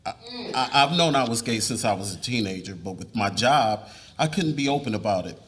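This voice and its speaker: A man's voice: lowish voice, animated, fairly quick, fairly bright tone. A man playing an earnest middle-aged husband and father in a live dramatic reading, with an explanatory, confessional way of talking. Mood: confessing, earnest. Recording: live reading with room noise.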